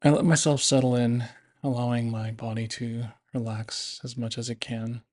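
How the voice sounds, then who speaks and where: A man narrating a guided body scan meditation in a gentle, unhurried, instructive manner. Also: mid-range voice, little pitch movement.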